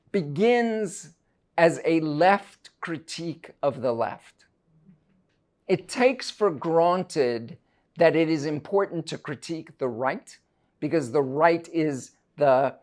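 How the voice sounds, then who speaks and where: A middle-aged man from South Africa, a university professor delivering a prepared lecture in a measured, deliberate, scholarly way. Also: fairly high pitch. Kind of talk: prepared academic lecture, read aloud.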